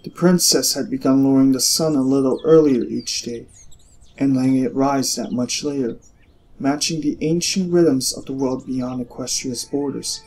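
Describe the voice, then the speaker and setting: A man reading scripted story narration in a measured, expressive way with deliberate pauses. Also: mid-range voice, slight background noise, low-quality recording. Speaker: audiobook narrator. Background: music.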